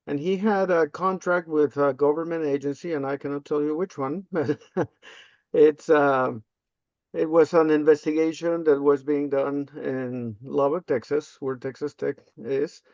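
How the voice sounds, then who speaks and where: An older man from Peru, a native Spanish speaker, answering interview questions casually and haltingly with frequent ums and uhs. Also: on the high side, steady tone, a little mellow, no room sound. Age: 50s or older. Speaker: interview guest, language access specialist. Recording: slightly dull, lo-fi close audio.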